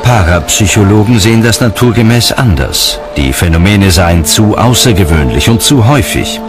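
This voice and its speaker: Male narrator, very low voice, lively intonation, scripted documentary delivery, music behind. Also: moderate pace.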